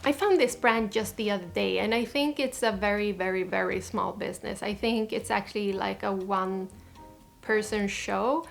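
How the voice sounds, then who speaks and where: A woman's voice: mid-range voice, moderate pace, creaky, soft background music. Chatty woman vlogging. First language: Swedish. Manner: enthusiastic, chatty, with frequent restarts. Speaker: fashion vlogger. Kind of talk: casual chat to camera.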